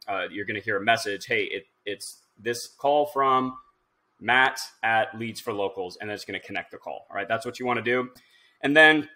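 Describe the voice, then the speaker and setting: A man in his thirties with a fairly low voice that has a bright, forward edge. He talks in an informal, instructional way, like someone walking viewers through software on screen. He often thinks aloud as he goes. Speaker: tutorial presenter. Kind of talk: casual screen-share software tutorial.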